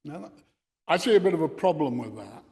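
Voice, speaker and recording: An older man giving an informal explanatory talk, reflective and halting in his phrasing. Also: fairly high pitch, sing-song, darkish tone. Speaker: presenter giving a talk on radio contesting. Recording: reverberant hall sound.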